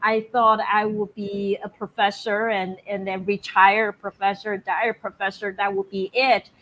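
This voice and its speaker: A woman's voice: mid-range voice, flat delivery, unhurried, a bit bright, muffled recording. Reflective woman guest. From Taiwan. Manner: reflective, conversational. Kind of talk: online video interview.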